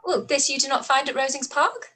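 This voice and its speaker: A woman playing a witty, spirited young Regency gentlewoman in a radio play, arch and playful. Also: sits high, brisk. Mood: wry. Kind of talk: radio play live read.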